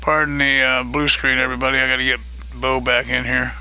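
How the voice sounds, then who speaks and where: A man hosting a live stream of a multiplayer farming game, chatting casually and greeting viewers by name, his voice getting a little hoarse. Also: mid-range voice, flat delivery, a little fast, bright, forceful tone, low-quality recording. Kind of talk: casual live-stream chat while gaming.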